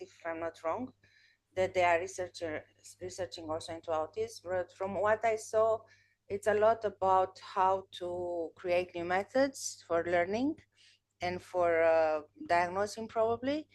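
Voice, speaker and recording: A woman in her thirties, whose native language is Romanian, hosts a podcast and talks with a guest over a webcam video call from a room at home. Her voice sits on the low side, and she speaks earnestly, often restarting her sentences. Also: moderate pace. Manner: thoughtful, earnest, with frequent restarts. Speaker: podcast host. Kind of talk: casual interview chat.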